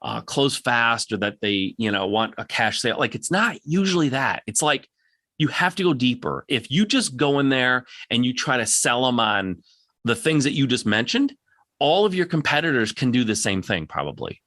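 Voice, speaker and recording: A man's voice: mid-range voice, very animated, normal speed, bright, forceful tone, dry recording, clean studio sound. A man hosting a live Q&A, coaching in a direct, plain-spoken way and insistently repeating his points for emphasis. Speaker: podcast host answering audience questions.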